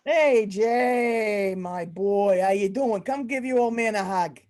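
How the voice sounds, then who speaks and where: A woman in an amateur old-time radio comedy, playing an exasperated, henpecked middle-aged mob boss father with gruff mobster slang. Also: mid-range voice, takes it slowly, a little mellow, close to an everyday voice. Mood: gruff, fatherly.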